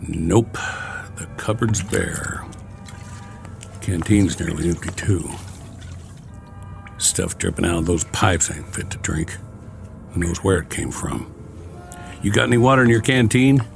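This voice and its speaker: An actor playing a weary, sardonic soldier in a radio drama, gruff but not cruel, with a wry, bossy, colloquial way of talking. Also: male voice, low-pitched, measured pace, long pauses. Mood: wry, grumbling. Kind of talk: dramatic radio acting, often talking to himself. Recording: studio radio drama with music behind.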